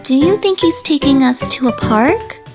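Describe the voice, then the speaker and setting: A woman voicing a reserved, skeptical female dog in an animated visual novel. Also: sits high, moderate pace, over loud music. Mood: wary. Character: wary, reserved, sensible female dog, small-voiced and grumbly.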